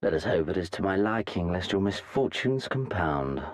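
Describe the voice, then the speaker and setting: An actor playing an arrogant, bored imperial warrior in a game, with haughty, mocking, formal old-fashioned speech. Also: male voice, sits low, animated, moderate pace. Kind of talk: dramatic game cutscene acting.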